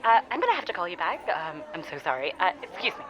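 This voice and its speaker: A woman playing a shy, flustered caller in an audio drama, halting and stammering with a giggly, confessional delivery over a dull phone-in sound. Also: lowish voice, sing-song, heavy background noise. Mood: awkward, apologetic.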